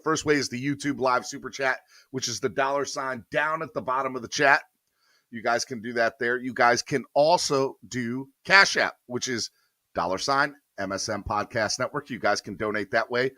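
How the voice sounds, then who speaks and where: A man hosting a live morning sports show, his voice a little hoarse but bright and forward. He talks upbeat and straight to his viewers. The sound is close and dry, with no room around it. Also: mid-range voice, no room sound. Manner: upbeat, addresses viewers directly. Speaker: podcast host.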